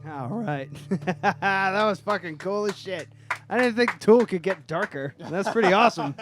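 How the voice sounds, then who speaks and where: Male podcast host, slightly high voice, easygoing, laughs a lot, curses casually. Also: unhurried, music in the background. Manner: laughs a lot, swears freely. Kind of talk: casual chat.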